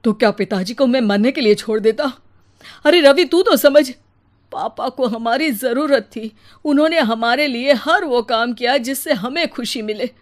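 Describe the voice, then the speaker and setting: A woman's voice: a little high, normal speed, natural voice. A woman voicing a caring, responsible elder brother in a cartoon moral story, speaking earnestly. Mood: distressed, reasoning.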